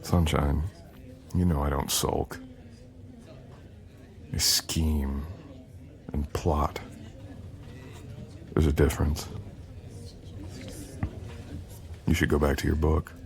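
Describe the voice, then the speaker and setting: A man playing a menacing, possessive supernatural boyfriend in a romantic ASMR roleplay, speaking in an intimate, brooding and often breathy way. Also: level tone, full character voice. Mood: scheming, dry. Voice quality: deep, gravelly, often breathy and whispered. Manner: slow, brooding, teasing intimate delivery.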